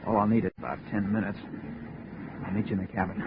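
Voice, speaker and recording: A man's voice: on the low side, flat delivery, fast, close to an everyday voice. An actor playing an uneasy, suspicious seaman with a hesitant, confiding manner in a radio drama. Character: uneasy, nosy male seaman with vague suspicions. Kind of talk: 1950s radio drama acting. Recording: muffled, noisy 1950 radio recording.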